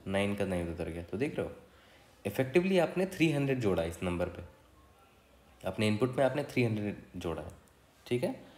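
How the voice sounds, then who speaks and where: An Indian man with a fairly low voice gives an informal tutorial, walking through each step conversationally. He often adds short check-in tags. The recording is noticeably roomy, with echo around his voice. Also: a little mellow. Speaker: coding tutor. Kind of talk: casual tutorial explanation. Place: indoor room, voice-over for screen recording.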